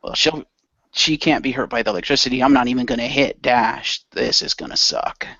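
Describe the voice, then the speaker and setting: A man's voice: mid-range voice, brisk, pushed and bright, no echo, highs cut off. Wry man playing a role-play, dry sound. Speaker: tabletop role-play player. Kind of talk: improvised narration with casual in-character asides.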